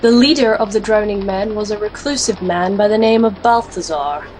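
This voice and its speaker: A woman's voice: mid-range voice, moderate pace, a little mellow, a little hiss or hum, natural voice. An actress playing a determined female alchemist warrior, serious and resolute, in a scripted fantasy audio drama. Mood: solemn narration. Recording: music under the voice.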